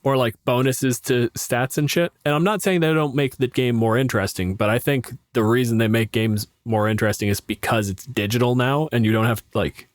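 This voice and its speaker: Low-voiced man, blunt and profane. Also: fairly even intonation, fairly quick, hardly any pauses, no echo, studio quality. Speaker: podcast co-host and game master. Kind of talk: casual chat about tabletop game rules.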